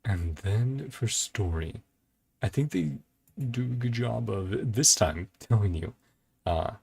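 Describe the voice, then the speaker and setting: Low raspy guy doing slow casual reaction commentary, thinking out loud as he goes, bit of music behind. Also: lively intonation. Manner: musing, thinks aloud in short broken phrases. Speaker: host of a reaction and ranking video.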